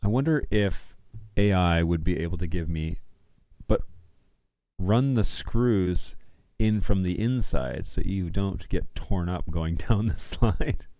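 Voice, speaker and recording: Man, middle-aged, low voice, slow unhurried pace, rambling casual livestream chat, dry close sound. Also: warm tone, dry recording. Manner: rambling, informal. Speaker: livestream host. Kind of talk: casual chat, thinking aloud while browsing.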